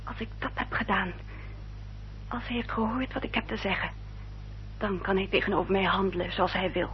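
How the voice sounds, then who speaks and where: A woman's voice: medium pitch, lightly acted. Woman playing an earnest young lady, muffled recording. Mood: pleading, resolute. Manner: formal, measured, carefully articulated. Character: earnest, dutiful young upper-class English lady, engaged. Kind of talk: Dutch radio drama acting. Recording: dull, noisy old radio recording with hum.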